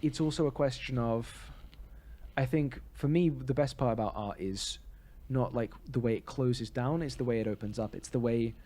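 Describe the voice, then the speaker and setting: A man's voice: medium pitch, moderate pace, mellow. A man co-hosting a podcast in casual, opinionated discussion, rambling and often correcting himself mid-sentence. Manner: hesitant, rambling, self-correcting. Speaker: podcast co-host.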